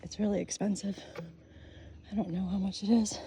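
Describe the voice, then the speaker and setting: An older woman chatting casually on a morning walk through her neighborhood, gushing and often soft and whispery. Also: mid-range voice, level tone, normal speed, slight background noise. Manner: chatty, gushing, softly spoken with breathy whispery stretches. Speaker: vlogger. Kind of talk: casual walking vlog. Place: outdoors on a neighborhood street.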